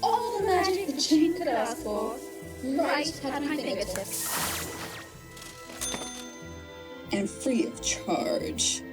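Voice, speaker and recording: A theatrical rabbit villainess in an audio drama, a mocking trickster who revels in her own show. The voice sits high and leaps about with hammy, gloating relish. Music plays underneath. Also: very animated, slow pace, long pauses, heavily acted. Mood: gloating, menacing. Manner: showboating, mocking, hammy stage-host delivery.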